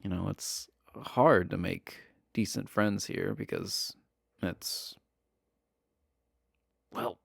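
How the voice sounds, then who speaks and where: A shy, friendly villain behind bars, acted by a man, talking to the listener in a romantic audio roleplay. His voice is low and creaky, and he takes his time, stumbling earnestly over his words. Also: drawn-out words, pauses often, dry recording, studio quality, exaggerated voice. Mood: earnest, wistful. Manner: hesitant, stammering, awkwardly earnest.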